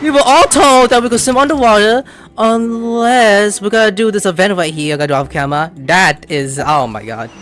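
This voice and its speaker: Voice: medium pitch, a little fast, fairly bright tone. A gamer giving casual, chatty commentary, muttering along to the game and its characters. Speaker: game streamer.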